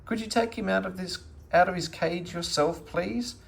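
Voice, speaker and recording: Man putting on a hurried, high-pitched kennel maid. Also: gravelly. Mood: harried. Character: worried, busy young woman working at kennels. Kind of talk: children's storybook read-aloud.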